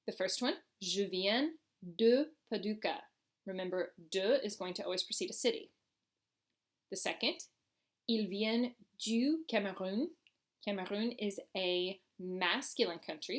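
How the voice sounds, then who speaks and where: A woman teaching a recorded French grammar lesson, explaining step by step and enunciating examples deliberately. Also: medium pitch.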